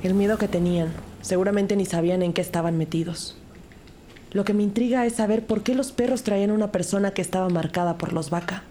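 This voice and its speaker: A woman's voice: mid-range voice, brisk, background noise, natural voice. An actress playing an earnest rookie detective who narrates her case in a scripted audio drama. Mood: serious, intent. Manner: serious, storytelling.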